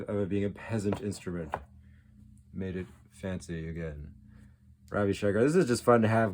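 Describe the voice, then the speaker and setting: A man's voice: lowish voice, darkish tone, slight background noise. A man giving a casual, off-the-cuff show-and-tell as a collector, rambling along with geeky enthusiasm. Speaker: record collector, video host.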